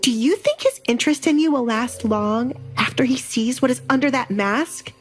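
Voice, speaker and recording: A woman plays a haughty young noblewoman in a romance audio drama. She is indignant and scornful, her voice lifted high with jealousy, over background music. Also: animated, moderate pace, lightly acted. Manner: indignant, scoffing.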